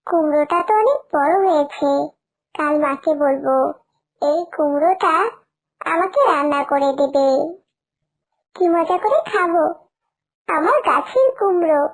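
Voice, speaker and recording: A woman voicing a sweet, excitable little village girl in a cartoon. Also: high-pitched, unhurried, pauses often, rough recording. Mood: excited, happy. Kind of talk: cartoon voice acting.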